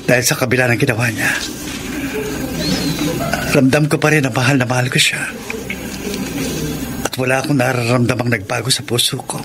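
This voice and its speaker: A man's voice: medium pitch, fairly even intonation, brisk, long pauses, very noisy, close to an everyday voice. Casting: male actor for forgiving Filipino groom, mid-range, solemn and self-sacrificing, radio romance, noticeable background ambience. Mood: solemn devotion. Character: devoted, forgiving, wounded young groom.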